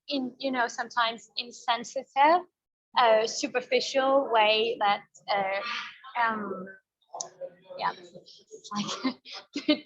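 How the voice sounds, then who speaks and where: A woman's voice: a little high, fairly bright tone. A woman documentary filmmaker answering thoughtfully in a festival interview, often searching for words. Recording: dull lo-fi audio.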